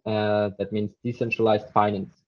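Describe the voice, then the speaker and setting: A man's voice: fairly low pitch, level tone, normal speed. A young man from Zurich, a podcast guest telling his story casually with many fillers. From Switzerland, Zurich. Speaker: podcast guest, crypto startup co-founder. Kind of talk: casual interview talk. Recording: dull lo-fi remote audio.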